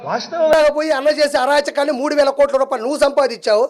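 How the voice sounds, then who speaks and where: Man, very high voice, quick pace, mocking political rant, scornful and insulting.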